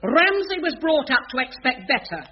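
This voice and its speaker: An actress playing a haughty, censorious middle-aged spinster sister in a radio sitcom. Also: female voice, high voice, sing-song, moderate pace, a little hiss or hum. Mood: sniffy, disapproving. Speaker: sitcom character. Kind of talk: 1980s studio radio sitcom acting. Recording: dull old radio recording with studio audience laughter.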